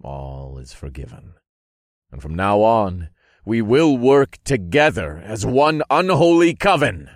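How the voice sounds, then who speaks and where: Man playing satanic dark lord, very low voice, grandiose, commanding, fantasy audiobook, dry close sound. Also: animated, measured pace, no room sound. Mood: magnanimous.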